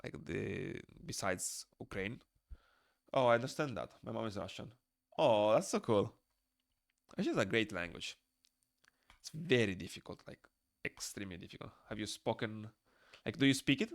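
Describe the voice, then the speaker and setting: Low gravelly young man with Moldovan accent. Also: lively intonation, normal speed, long pauses, no echo, clean studio sound. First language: Romanian. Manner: rambling, reads chat aloud and answers it. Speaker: streamer. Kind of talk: casual chat while drawing on stream. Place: small room indoors.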